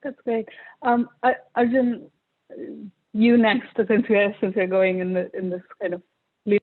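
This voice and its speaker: A woman's voice: mid-range voice, level tone, unhurried. A woman moderating a panel discussion, conversational, with repeated words as she hands over. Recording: tinny phone-like audio.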